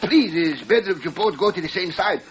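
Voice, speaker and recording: A man's voice: high-pitched, animated, fairly quick, background noise. A man playing a comic, grumbling Mexican cowboy sidekick in an old-time radio western, in broken English with a thick Mexican accent. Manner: broken English, clowning, playful.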